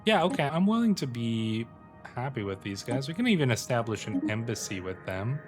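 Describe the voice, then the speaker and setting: A man's voice: mid-range voice, big pitch swings, normal speed. A man hosting a gaming video with casual, running commentary on his play. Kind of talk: casual gameplay commentary with read-aloud in-game text. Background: music.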